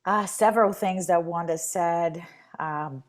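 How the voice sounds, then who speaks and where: Low-voiced woman in her forties. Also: a little slow. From Brazil. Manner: thoughtful, self-correcting, sometimes speeds up. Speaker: journalist, panel guest. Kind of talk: reflective panel conversation.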